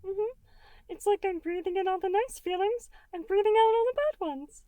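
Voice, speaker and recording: A woman voicing a small, cheerful gelatinous creature from a cartoon, childlike and squeaky, speaking in an eager, reassuring way with drawn-out words. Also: warm tone, no echo. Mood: gentle, encouraging. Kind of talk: animated cartoon character in fan fiction reading.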